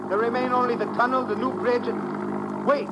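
A man's voice: very high-pitched, steady tone, moderate pace. A man playing a worried railway helper in a 1950s radio drama, on a noisy old recording with an engine roaring behind him. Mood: anxious, urgent.

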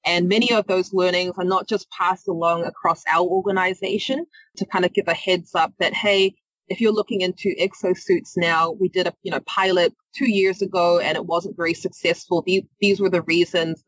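A woman's voice: fairly low pitch, a bit bright, no echo, poor audio. A woman with a New Zealand accent, a podcast guest who answers questions fluently but with many fillers and restarts. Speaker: guest, innovation leader.

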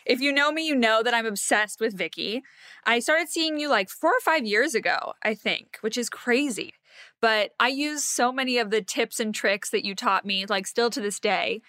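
A woman's voice: on the high side, moderate pace, pushed and bright, no room sound. A woman hosting a podcast, reading an enthusiastic scripted intro and then chatting eagerly with her guest. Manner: gushing, enthusiastic. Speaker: podcast host.

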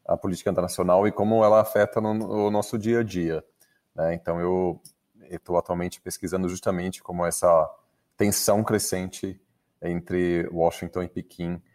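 A man's voice: low voice, little pitch movement, moderate pace, darkish tone. A man from Venezuela with a Venezuelan accent, a researcher guest, speaking thoughtfully with hesitant fillers. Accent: Venezuelan Spanish. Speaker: guest, international relations researcher.

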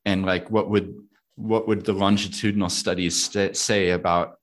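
A man's voice: sits low, level tone, moderate pace. A man with an American accent, raised in New Zealand, talking casually and thoughtfully about his life, laughing now and then. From United States. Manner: thoughtful, laughs often, asks himself questions aloud. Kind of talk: reflective casual conversation.

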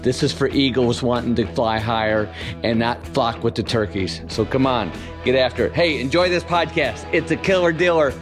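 A man's voice: medium pitch, moderate pace, over music. A man hosting a podcast from a studio, talking in a folksy, hyped-up way full of "man" and fillers. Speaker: podcast host. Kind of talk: casual, enthusiastic interview talk.

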